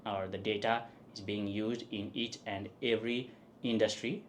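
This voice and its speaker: Nepali man, halting talk with tag questions. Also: on the low side, fairly even intonation, slight background noise. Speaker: guest, statistics professor. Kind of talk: casual interview.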